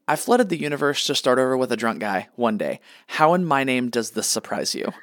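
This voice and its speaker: A man's voice: medium pitch, lively intonation, a little fast, a bit bright, no room sound, clean studio sound, natural voice. Wry, petty male god, played by a man. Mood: petty, irritated. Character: petty, smug male god, easily annoyed, deadpan. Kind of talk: comedic radio play acting.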